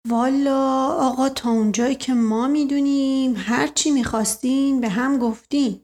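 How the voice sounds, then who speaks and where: A woman voicing a placating, folksy male servant in an audiobook story, with colloquial, deferential, drawn-out phrasing. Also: high voice, steady tone, darkish tone. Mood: cautious, conciliatory.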